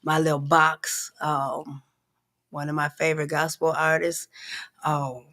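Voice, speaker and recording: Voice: medium pitch, flat delivery, unhurried, sharp tone. A singer guesting on a talk show, speaking thoughtfully and enthusiastically about music and healing. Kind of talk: casual interview chat.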